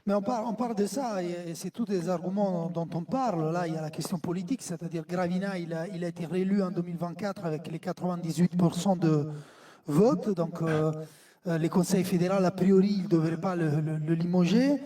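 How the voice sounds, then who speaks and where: A high-voiced man from Italy weighs in on a studio football debate. He hesitates and fills gaps as he goes, sitting a little away from the microphone. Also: fairly even intonation, fairly quick, mellow, far from the mic. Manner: hesitant, many fillers. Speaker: football pundit.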